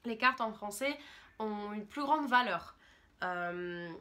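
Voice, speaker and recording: A woman's voice: medium pitch, expressive, slow pace, bright, forceful tone. A French woman in her twenties, a YouTuber talking in a chatty, rambling, enthusiastic way in a casual vlog monologue. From France.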